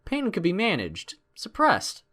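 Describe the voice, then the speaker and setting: A man's voice: high-pitched, wildly swooping pitch, unhurried, pushed and bright. A man narrating a scripted adventure story in a dramatic storytelling style.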